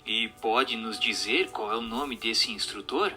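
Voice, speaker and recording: An actor in a radio drama playing a formal, inquisitive séance questioner and narrator who speaks in a measured, scripted way. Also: male voice, on the low side, sharp tone. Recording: music under the voice.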